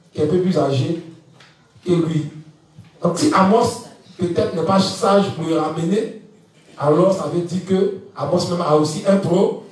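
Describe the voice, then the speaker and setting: A man in his fifties from Ivory Coast, giving an evening church teaching and often asking whether the listeners follow him. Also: on the high side. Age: 50s. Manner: animated, laughing, asks the audience if they follow. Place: church hall. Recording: echoey room, mic far off.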